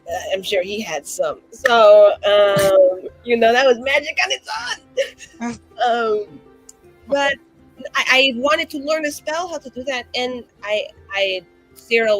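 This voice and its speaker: Woman rambling in character at a tabletop game, um-filled, soft music behind. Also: a little high, rough recording. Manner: rambling with many fillers and restarts. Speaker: player.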